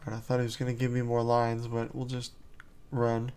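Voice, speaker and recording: Relaxed man commenting on gameplay. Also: mid-range voice, brisk, clean tone. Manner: relaxed, rambling, self-amused. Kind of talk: casual let's play commentary.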